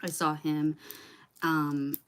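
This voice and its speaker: A woman's voice: sits low, flat delivery, very slow, a bit bright. A woman singer-songwriter chatting casually with viewers during a livestream, rambling with lots of "um"s and restarts.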